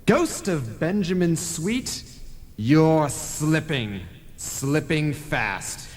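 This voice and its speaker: A man's voice: fairly high pitch, big pitch swings, very slow, heavily acted. A man playing an officious, preachy ghost messenger in an old-time radio play, theatrical and formal. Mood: ominous warning. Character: officious, preachy male ghost messenger, cautioning his brother. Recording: long reverb, analogue radio broadcast tape.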